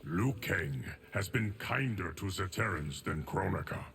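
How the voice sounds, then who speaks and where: An actor playing a solemn, measured divine protector in a fighting game. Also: male voice, low voice, animated, a bit bright, slight background noise, exaggerated voice. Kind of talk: video game fight-intro dialogue.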